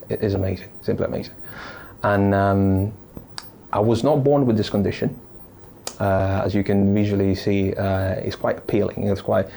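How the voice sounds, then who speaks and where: Deep, mellow-voiced man from Italy. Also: a little hiss or hum. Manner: thoughtful, halting, many restarts and fillers. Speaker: podcast guest. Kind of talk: casual interview storytelling.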